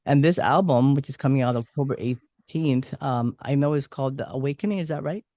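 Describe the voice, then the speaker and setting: A man's voice: medium pitch, fairly quick, mellow, no room sound. A man hosting an interview, eager and admiring as he chats with his guest. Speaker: radio host and interviewer. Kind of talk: casual interview chat.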